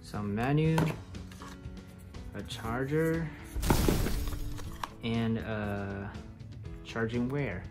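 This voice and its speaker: Halting man talking at an unhurried pace. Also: medium pitch, over loud music. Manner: halting, searching for words. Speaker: vlogger. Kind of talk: casual unboxing and first-use demonstration. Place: room at home.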